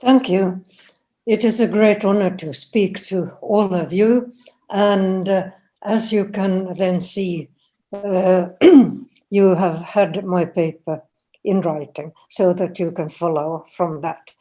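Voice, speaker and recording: A woman, giving a careful, deliberate academic lecture over a video call. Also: on the low side, steady tone, warm tone. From Finland. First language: Finnish and Swedish. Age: 80s. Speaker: guest lecturer.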